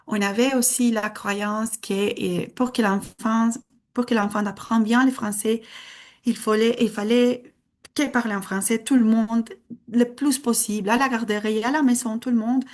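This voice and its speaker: A woman's voice: a little high, gravelly. Woman presenting. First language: Spanish. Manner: earnest, explanatory, hesitant. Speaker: conference speaker. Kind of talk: conference presentation.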